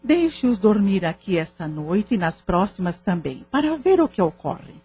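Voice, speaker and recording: A woman playing a calm, devout spiritist group leader in an audio drama, husky and measured, with formal, counselling words. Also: medium pitch, highs cut off, slight background noise, natural voice.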